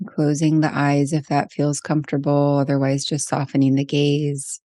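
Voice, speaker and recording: A woman leading a guided meditation, speaking in short, gentle phrases with long pauses. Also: sits low, monotone, no echo, clean studio sound.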